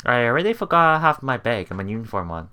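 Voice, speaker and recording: A woman's voice: rumbling low, very animated, fast talker, bright, forceful tone. A woman doing a casual let's play, reading visual novel text aloud and reacting with giggly asides. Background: game music.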